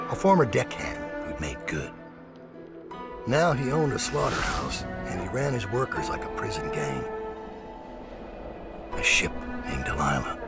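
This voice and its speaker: A low, gravelly male assassin from a video game, brooding and terse. His pitch swings noticeably as he narrates his grim story, and loud music plays underneath. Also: full character voice.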